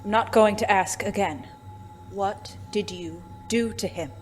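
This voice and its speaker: A woman playing a refined, secretive former spy in an audio drama, speaking formally and haltingly, with endearments. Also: mid-range voice, soft background music, lightly acted. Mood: cold, demanding.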